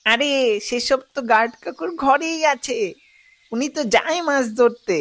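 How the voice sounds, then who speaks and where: A woman voicing a mischievous, eager young boy in an animated audio story, cheeky, bossy and excitable. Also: high-pitched, measured pace, dry recording. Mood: cocky, eager.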